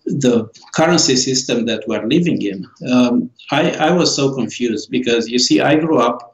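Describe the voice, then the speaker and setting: Romanian man, slow and halting. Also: mid-range voice, reverberant, low-quality recording. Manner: slow, halting, many fillers and restarts. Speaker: author and guest. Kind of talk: interview answers.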